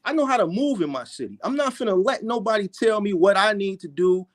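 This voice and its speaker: Casting: man in his forties, laid-back podcast guest, fairly high voice. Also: animated, brisk. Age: 40s. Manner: laid-back, reflective, says "you know what I'm saying" a lot. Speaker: guest, fellow YouTuber. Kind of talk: casual podcast conversation.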